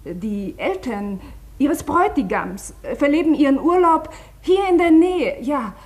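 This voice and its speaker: High-voiced, flustered, placating woman. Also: lively intonation, a little slow. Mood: flustered, defensive. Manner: hesitant, over-insistent, placating. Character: flustered woman glibly covering up an absence. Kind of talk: German radio play acting.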